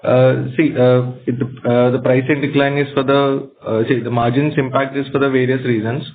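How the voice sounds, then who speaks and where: A company executive, a man, giving halting, piecemeal answers on an earnings call over a noisy phone line. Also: medium pitch, level tone. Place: conference call. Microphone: telephone.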